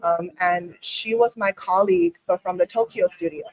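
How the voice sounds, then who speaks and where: A woman's voice: fairly low pitch, sing-song, moderate pace, poor audio. A woman in her thirties, from China, co-hosting a casual podcast chat, talking freely with many ums and likes. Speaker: podcast co-host.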